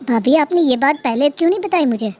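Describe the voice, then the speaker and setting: A woman's voice: sits high, lively intonation, quick pace, a little mellow, a little hiss or hum. A woman playing an indignant, reproachful young husband. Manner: reproachful, stern. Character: indignant young husband defending his family.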